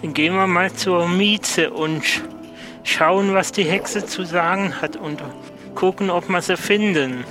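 Higher-pitched man, earnest role-player. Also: a little slow, music in the background. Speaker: listener guest player. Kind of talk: improvised role-play in audio adventure.

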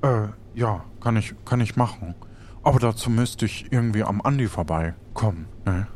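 A man playing a timid, awkward and polite customer who speaks haltingly in an improvised audio drama. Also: fairly low pitch, a little mellow, slight background noise, pitched below the performer's own voice, close to an everyday voice. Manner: halting, apologetic.

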